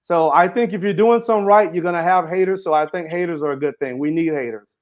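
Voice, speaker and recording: Man, high voice, earnest, runs sentences together, muffled lo-fi audio. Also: quick pace. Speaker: interview guest, author and speaker. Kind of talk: casual interview conversation.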